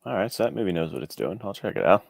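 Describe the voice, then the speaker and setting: A man's voice: low-pitched, very animated, very fast, gravelly, no echo, clean studio sound. A man chatting casually as a podcast guest, informal and slangy, a little self-deprecating. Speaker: guest, indie adventure author. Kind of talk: casual chat.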